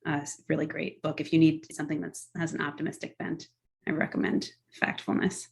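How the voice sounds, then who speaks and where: A woman's voice: sits low, little pitch movement, a little fast, vocal fry. A middle-aged woman answering podcast interview questions in an articulate, earnest, enthusiastic way. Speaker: guest, tech policy executive.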